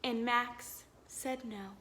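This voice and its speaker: Bright-voiced woman teacher reading to children. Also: a little high, drawn-out words. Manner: expressive, child-directed, encouraging. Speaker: teacher reading to young pupils. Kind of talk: storybook read-aloud with questions to children.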